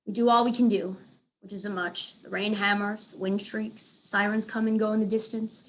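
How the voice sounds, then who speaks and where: A woman's voice: medium pitch, flat delivery, moderate pace, low-quality recording. A woman reading aloud as a teacher, narrating a children's book expressively.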